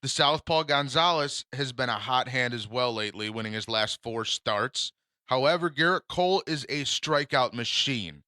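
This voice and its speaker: A man with a low yet bright, forceful voice gives sports picks on a casual podcast. He sounds confident and trades jokes with his co-host. The recording is dry and close. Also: normal speed, no room sound. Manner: confident, joking, banter.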